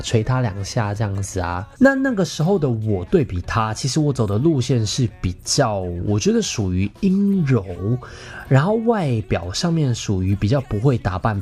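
A man's voice: lowish voice, a little mellow. A young man with a Taiwanese Mandarin accent tells personal stories in a chatty, playful, self-teasing way, like a podcast host. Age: young adult.

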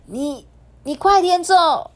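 A woman voicing a young, stubborn, kind-hearted fox fairy in a high, loud, pleading voice. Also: measured pace.

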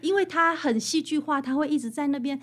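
A woman's voice: sits high, brisk. A woman with a Taiwanese Mandarin accent speaking as an interview guest, explaining and telling stories in an emphatic, conversational way. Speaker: guest author interviewed about her book.

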